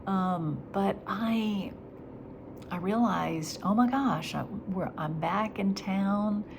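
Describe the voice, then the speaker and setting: A woman talking in a reflective faith vlog, soft and halting, her voice nasal after crying. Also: mid-range voice, creaky. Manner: soft and halting, slipping into whispers. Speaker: vlogger. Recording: steady background noise.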